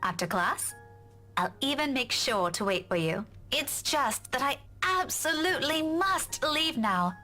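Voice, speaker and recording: Kind young teacher lady in a game, highish bright voice, music under it. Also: lively intonation, measured pace, heavily acted. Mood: apologetic, gentle. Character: kind, apologetic young female schoolteacher. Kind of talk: visual novel game voice acting.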